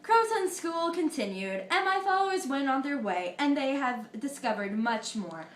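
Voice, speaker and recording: Teen girl doing old greek philosopher guy, high lively voice, echoey room. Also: slight background noise. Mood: earnest. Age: teenager. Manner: earnest, recited, a little halting. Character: ancient Greek philosopher Pythagoras, an older man, earnest. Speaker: student in a school history project. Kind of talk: amateur mock interview, reciting facts.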